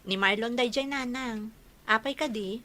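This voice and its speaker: A woman's voice: on the high side, moderate pace, sharp tone. A woman playing a respectful, coy young village daughter in love, in a radio comedy drama.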